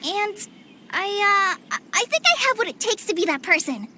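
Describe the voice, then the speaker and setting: A woman's voice: extremely high pitch, sing-song, normal speed, sharp tone, slight background noise, full character voice. A woman playing a shy but earnest young girl forest ranger in a video game, hesitant and eager to help. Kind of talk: animated game cutscene acting.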